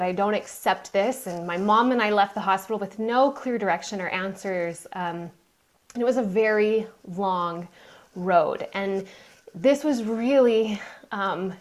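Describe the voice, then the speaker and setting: A woman guest on a podcast, telling her life story in an earnest, emphatic way. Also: mid-range voice. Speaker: guest, mind coach. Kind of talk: interview storytelling.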